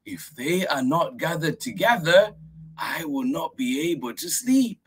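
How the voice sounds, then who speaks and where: A man's voice: fairly high pitch, animated, a little slow, fairly bright tone, husky, voice pushed up, full character voice. A man voicing an eager, busy little girl who keeps pleading politely to stay up.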